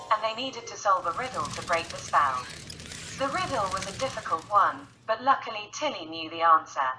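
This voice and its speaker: Female storyteller for children's bedtime tales: very bright, animated delivery over soft background music. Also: medium pitch, low-quality recording.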